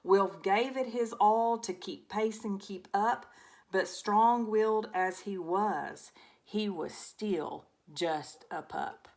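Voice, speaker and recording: A woman narrates a rhyming children's story with expression. Her medium-pitched voice moves very slowly through the verse. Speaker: storybook reader.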